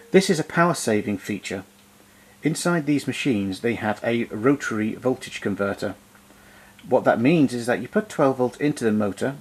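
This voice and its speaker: A man presenting vintage equipment in an unscripted demonstration, explaining things in a hesitant, pausing way. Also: mid-range voice, slightly rough. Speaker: vintage tape recorder collector presenting.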